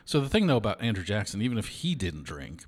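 A man's voice: on the low side, sing-song, fast talker, slightly rough. A man co-hosting a casual talk show, reading trivia aloud and riffing on it with chatty asides. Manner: chatty, reads aloud then riffs with asides. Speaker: podcast co-host.

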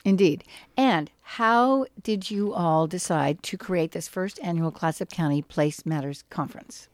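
A woman hosts a radio talk show and asks her guests encouraging questions, often stumbling and repeating words as she begins. Her voice sits a little low and sounds close and dry. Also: unhurried, no room sound. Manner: encouraging, repeats words when starting sentences.

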